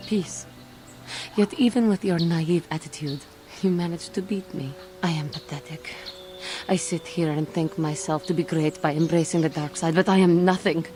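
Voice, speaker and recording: A woman's voice: low voice, normal speed, background noise, natural voice. A woman playing a proud, bitter fallen Jedi tormented by guilt, with intense, theatrical and formal delivery in a video game. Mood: bitter, despairing. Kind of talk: dramatic video game voice acting.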